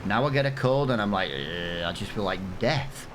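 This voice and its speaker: A man's voice: on the low side, lively intonation, moderate pace, noisy background. An English man in his thirties, rambling and self-mocking as he gives casual commentary over a farming game. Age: 30s. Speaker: gaming channel host. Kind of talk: casual let's-play commentary.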